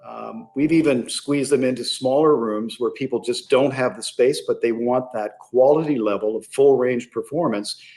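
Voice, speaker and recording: Measured man explaining in an interview. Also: medium pitch, a little mellow. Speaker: interview guest, loudspeaker company sales executive. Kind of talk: explanatory product talk in an interview.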